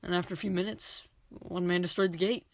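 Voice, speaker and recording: A woman's voice: lowish voice, fairly even intonation, brisk, natural voice. A woman playing a matter-of-fact mercenary giving a report in an audio drama. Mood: sober.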